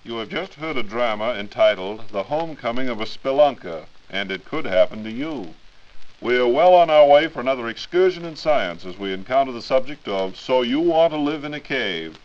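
A man's voice: medium pitch, lively intonation, moderate pace, a little mellow. Wry 1940s radio announcer, muffled man. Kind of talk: scripted 1940s radio dialogue.

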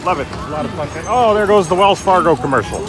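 A man's voice: high voice, lively intonation, quick pace. A man casually narrating a walking vlog at an outdoor theme park, chatty and upbeat as he points things out. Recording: loud crowd and music outdoors. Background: park music and crowds.

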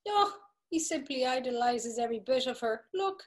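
An actress playing a domineering brothel madam, commanding and mocking, in a dramatic reading over a video call. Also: female voice, sits high, animated, normal speed. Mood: taunting. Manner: commanding, taunting. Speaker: stage actor.

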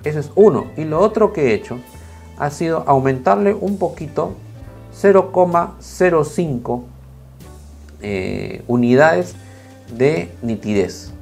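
A man in his thirties, slightly hoarse, talking casually on a lapel mic in a small home studio, with many fillers and restarts. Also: on the high side, lively intonation, a little slow, darkish tone, soft background music. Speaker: video creator reviewing camera footage. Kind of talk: casual talk to camera, then screen walkthrough.

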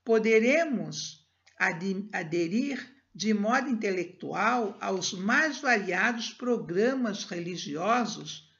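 An older woman from Brazil giving a spiritist talk, reading a passage with slow, measured phrasing and then leading a soft prayer, recorded over a laptop webcam at home. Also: mid-range voice, lively intonation. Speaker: spiritist lecturer. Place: home room, indoors. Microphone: laptop webcam on a video call. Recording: dull lo-fi video call audio.

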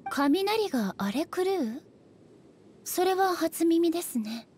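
A woman voicing a composed, serenely proud goddess ruler in an anime game, speaking in measured, formal and polite phrases. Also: very high-pitched, animated, a little mellow, slight background noise, full character voice. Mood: calm, defensive.

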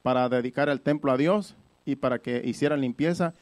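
A man's voice: medium pitch, brisk. Conversational male preacher explaining scripture. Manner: explanatory, repeats and rephrases points. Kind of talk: conversational Bible-teaching sermon.

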